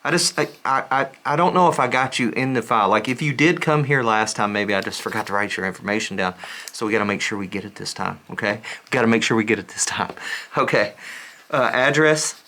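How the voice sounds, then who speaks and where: A man playing a slightly deranged, scatterbrained doctor in a soft-spoken ASMR roleplay, halting, repetitive and insistent. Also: lowish voice, slight background noise. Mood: distracted, insistent. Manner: halting, repetitive, distracted muttering.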